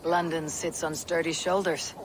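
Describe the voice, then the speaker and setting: Bold Viking warrior woman, game cutscene, direct, formal, measured, faint background ambience. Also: deep voice, little pitch movement, a little hiss or hum. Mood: confident, cordial. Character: bold, blunt female Viking clan warrior. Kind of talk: dramatic video game cutscene acting.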